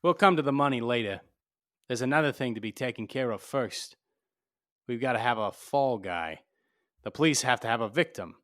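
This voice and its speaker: Man acting a blunt, tough private eye, medium pitch. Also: animated, moderate pace, a bit bright, close to an everyday voice. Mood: cool, bargaining. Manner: blunt, wisecracking, commanding. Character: cool, tough, shrewd male private detective. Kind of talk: 1940s-style radio play acting.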